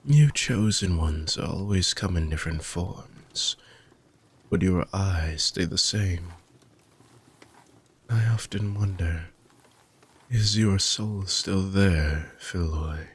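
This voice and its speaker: A man playing an ancient, weary dark mage villain with a gravelly, husky voice, speaking in soft, deliberate, formal phrases as an intimate fantasy audio roleplay monologue. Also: sits low, exaggerated voice. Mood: tender, wistful. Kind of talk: slow, intimate dramatic monologue for sleep-aid audio roleplay.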